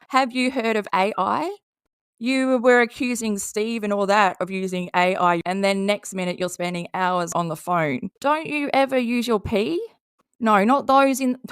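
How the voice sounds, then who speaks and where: A woman's voice: mid-range voice, clear, resonant tone, no echo, clean studio sound. A woman with an Australian accent giving casual, sarcastic commentary and reading posts aloud in a blunt, mocking way. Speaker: commentary video host.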